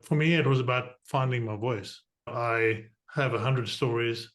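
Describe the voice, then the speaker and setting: A middle-aged man from southern Africa who hosts a podcast, explaining things with energy and quick asides. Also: medium pitch, fairly bright tone. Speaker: podcast host. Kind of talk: conversational interview.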